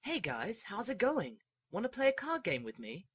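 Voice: medium pitch, huge pitch swings, normal speed, fairly bright tone, breathy edge, dry recording, clean studio sound, heavily acted. A performer with a female-sounding voice playing a geeky, socially awkward young male ninja in a comic anime parody, eager and nerdy.

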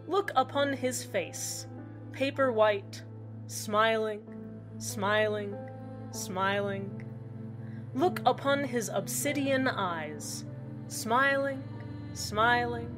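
A woman playing an eerie small-town community radio host in a scripted fiction podcast, deadpan and measured, with deliberate pauses. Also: medium pitch. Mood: solemn, ominous. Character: eerily cheerful female small-town community radio host, uneasily upbeat. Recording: music under the voice. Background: background music.

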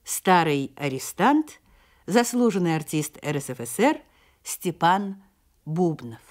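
Woman radio announcer, formal credits read, slow n deliberate, melodic pitch. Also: darkish tone. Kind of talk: formal scripted credits reading.

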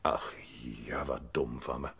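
An actor playing a kindly, gruff old mountain grandfather in a children's audio drama, muttering good-naturedly. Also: rumbling low, flat delivery, slow, a bit bright, full character voice. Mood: warm, musing.

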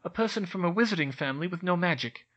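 A man voicing a clever, earnest schoolgirl witch who talks briskly. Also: sits high, animated, a bit bright, dull audio, pitched above the performer's own voice.

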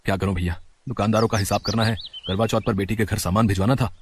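A man voicing a humble, self-sacrificing middle-aged father in a family story, with a husky voice. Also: fairly low pitch, fairly even intonation, fast, a little hiss or hum, lightly acted. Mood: troubled.

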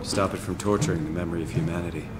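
A man's voice: low voice, fairly even intonation, racing, lightly acted. An actor playing a weary, wry everyman stranded in an undersea facility, in a subdued game performance. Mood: dry, subdued. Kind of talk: video game voice acting. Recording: echoey, noisy machinery ambience.

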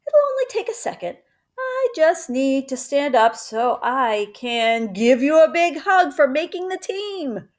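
A woman plays a teasing mother from a children's storybook. The voice is lifted high and swings playfully, stalling with drawn-out words. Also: very animated.

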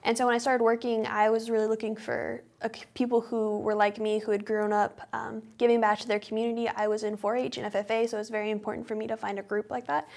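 A woman in her twenties from California's Central Valley, speaking as a guest in a TV studio interview, quick and upbeat with some fillers. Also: a little high, flat delivery, creaky, darkish tone. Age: 20s. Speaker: club vice president and guest. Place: small TV studio.

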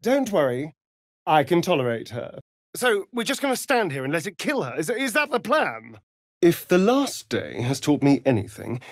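An actor with a British accent playing a sardonic, preening vampire rogue in a fantasy video game, with an arch, drawling, theatrical delivery. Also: male voice, fairly high pitch, no room sound, clean studio sound, exaggerated voice.

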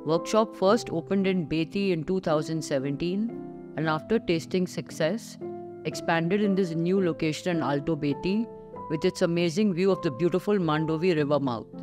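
A middle-aged woman with an Indian English accent giving scripted voice-over narration for a restaurant visit, measured and descriptive, with clear enthusiasm for the food. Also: fairly low pitch. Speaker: food vlogger host. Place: voice-over recorded separately from the restaurant scenes. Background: background music under the narration.

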